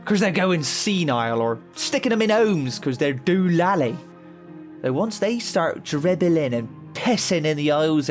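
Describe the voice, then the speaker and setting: A man playing an aggrieved, foul-mouthed teenage son in an audio drama, slangy and sardonic. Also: fairly high pitch.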